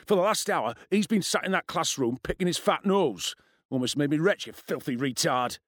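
Man voicing a jeering, high-pitched schoolboy bully. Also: animated, normal speed, no echo, clean studio sound. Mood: goading, contemptuous. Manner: taunting, sneering delivery. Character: cruel, swaggering schoolboy bully, jeering and foul-mouthed.

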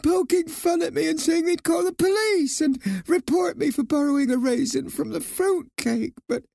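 A man's voice: very high-pitched, moderate pace, vocal fry, a little mellow, dry recording, studio quality, pitched above the performer's own voice. A man voicing a prim, anxious little female mouse housewife in a storybook, nervous, pleading and indignant. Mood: sobbing, upset.

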